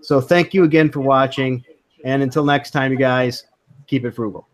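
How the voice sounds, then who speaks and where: Male host, mid-pitched, chatty and easygoing, remote call audio. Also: steady tone, moderate pace. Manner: easygoing, talkative, encouraging. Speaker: host of a comics chat stream. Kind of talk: casual online discussion.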